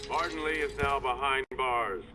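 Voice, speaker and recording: A man acts a grandiose city mayor in a video game. He speaks in declarative, self-assured public speech, with an average male pitch and pompous delivery. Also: moderate pace, lightly acted. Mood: confident. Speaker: politician.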